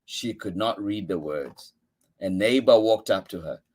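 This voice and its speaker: A man reading a children's picture book aloud as a story-time host, with expressive, deliberate emphasis on key words. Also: fairly low pitch.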